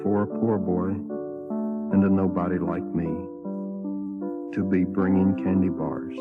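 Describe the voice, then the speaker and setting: A low-voiced man delivers an earnest, humble spoken-word narrative at a slow pace. The dull, lo-fi record has prominent guitar music behind him. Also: flat delivery.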